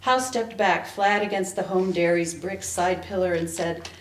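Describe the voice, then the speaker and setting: A woman author giving a dramatic, measured reading of fiction to be heard at a live event. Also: lowish voice. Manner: measured narration, acting out the dialogue. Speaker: author reading from own novel. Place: indoor library event space. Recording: echoey room, public event recording.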